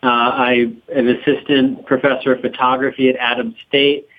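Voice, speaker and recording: A man's voice: mid-range voice, flat delivery, normal speed. A man on a remote phone call, explaining his work as an interview guest in a conversational style. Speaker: interview guest, documentary co-director and professor.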